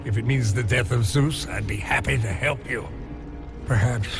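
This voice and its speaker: An actor playing an anguished, scheming old giant smith god in a video game cutscene, halting and theatrical. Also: male voice, medium pitch, close to an everyday voice. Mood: ingratiating, scheming. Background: cinematic game music.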